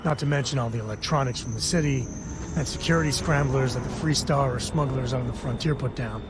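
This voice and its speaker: An actor playing a wry, world-weary space cowboy in a video game, laconic and folksy. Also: male voice, medium pitch, fairly quick, heavy background noise, lightly acted. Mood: reflective, wry.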